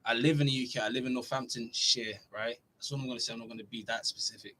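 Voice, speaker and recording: A young man from Norway, raised largely elsewhere, who hosts a relaxed live Q&A for his fitness channel, reading viewer questions aloud and answering them in a chatty way. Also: mid-range voice, fast talker. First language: Norwegian. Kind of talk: casual livestream Q&A.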